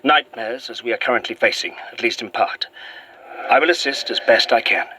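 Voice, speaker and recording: A man playing a courteous but haunted Victorian gentleman in a horror audio drama, with formal, old-fashioned diction and an earnest manner. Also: medium pitch, expressive, a little fast, slight background noise, rough recording. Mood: apologetic, earnest. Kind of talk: found-footage horror audio drama acting.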